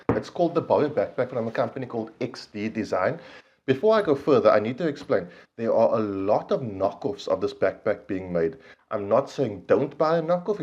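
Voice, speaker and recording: A man in his thirties talks straight to the camera from a small indoor room, a little nasal with a cold. He explains at a steady middle pitch, with plenty of ums, and the sound is slightly dull. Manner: earnest and explanatory, frequent ums, hand gestures. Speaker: vlog host reviewing gear. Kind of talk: casual to-camera review talk. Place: small indoor room against a plain wall.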